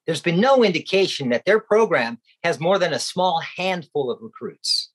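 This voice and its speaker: A determined secret agent in a radio drama. His fairly high voice is animated and urgent, with clipped, purposeful delivery. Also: moderate pace, husky. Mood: briefing. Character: eager, determined male government secret agent. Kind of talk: comedic radio play acting.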